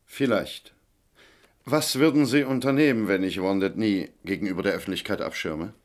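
An actor playing a cool, calculating senior official in a German radio play, speaking in a measured, formal manner. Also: male voice, lowish voice, natural voice. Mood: guarded.

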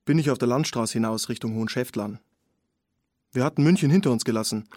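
An actor playing a sober, observant man in a radio play, giving a detailed account in clipped, measured phrases. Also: medium pitch, darkish tone, dry recording, studio quality, close to an everyday voice. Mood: calm recollection. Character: man recounting finding a drowned woman, sober and observant. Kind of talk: radio play testimony narration.

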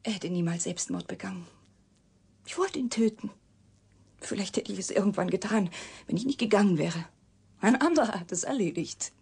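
Husky female voice, embittered secretive scientist, deliberate, intense, radio drama. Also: mid-range voice, a little mellow. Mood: guarded, resolute. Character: embittered woman scientist, secretive product of her father's experiment. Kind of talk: German radio drama acting.

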